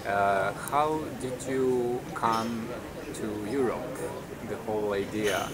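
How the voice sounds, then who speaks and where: A man interviewing informally, putting his questions in halting, hesitant phrases. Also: fairly low pitch, steady tone, a loud crowd, rough recording.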